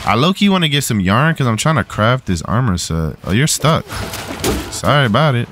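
A man's voice: medium pitch, big pitch swings, normal speed, noisy background. A man giving casual, slangy gameplay commentary, swearing at the game while getting over a cold. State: getting over a cold, slightly rough throat. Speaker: gaming video host. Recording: game music playing behind.

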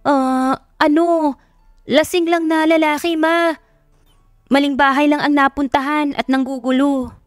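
Feisty, excitable young female gossip as a woman narrator plays her, high voice, roomy echo, music underneath. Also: a little slow, long pauses, studio quality. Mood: nervous, fibbing.

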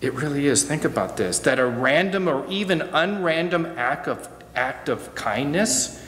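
A middle-aged American man giving a reflective sermon as guest preacher, measured, with deliberate pauses and repeated phrases, at a church pulpit microphone. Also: fairly high pitch, animated, fairly bright tone. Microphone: gooseneck pulpit microphone. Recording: echoey hall, noticeable hum.

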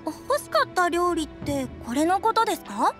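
A woman's voice: very high-pitched, sing-song, normal speed. A woman playing a friendly, caring restaurant owner in an anime game, speaking in a cheerful, sociable manner. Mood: cheerful, admiring. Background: soft background music.

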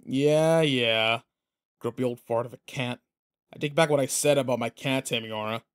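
A man acting in an audio roleplay as a protective, teasing, rough-edged but kind pretend boyfriend, talking intimately and casually. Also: medium pitch, moderate pace, close to an everyday voice. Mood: playful, relaxed.

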